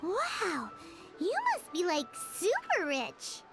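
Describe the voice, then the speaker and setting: Bubbly childlike fairy sidekick for a game: squeaky, extremely high, animated, chirpy. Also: female voice, slow, vocal fry, exaggerated voice. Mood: curious, amazed. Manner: chirpy, exclamatory. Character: tiny childlike female fairy companion, bubbly and eager. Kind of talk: video game voice acting. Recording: music behind the voice.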